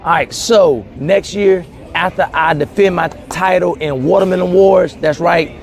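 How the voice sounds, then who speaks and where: Excited man, higher-pitched voice. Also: a little slow. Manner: excited, emphatic, repeats key words for effect. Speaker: host of a gardening vlog. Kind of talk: casual, enthusiastic talk to camera.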